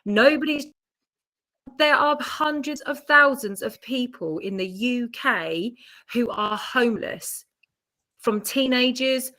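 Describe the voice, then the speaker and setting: A woman's voice: a little high, takes it slowly, bright, forceful tone. A woman co-hosting a podcast, speaking insistently and emphatically with rhetorical questions. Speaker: podcast co-host. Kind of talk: opinionated casual podcast chat.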